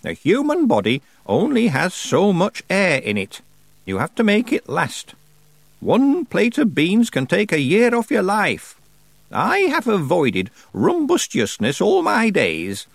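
Storybook: a man doing a very old sly witch woman, raised pitch, slow lofty drawl, very dry close sound. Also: huge pitch swings, creaky, dry recording, exaggerated voice. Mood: mock-solemn. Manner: slow, drawn-out, lofty delivery. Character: ancient stern female witch, sly and dry-humored.

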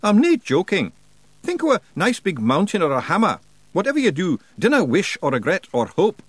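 A man voicing a tiny gruff Scottish fairy warrior chief from a storybook, with blunt, bantering dialect. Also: high voice, wildly swooping pitch, normal speed, no echo, voice pushed up, heavily acted. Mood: grim, urgent. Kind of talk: audiobook storybook character voice.

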